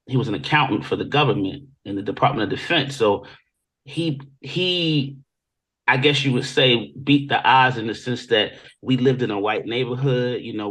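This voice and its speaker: An American man from Virginia speaks as a guest in a casual interview. His voice sits at a middle pitch, and he tells his stories in a loose, conversational way. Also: fairly bright tone, poor audio. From Virginia Beach, Virginia, United States. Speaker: interview guest, music industry veteran.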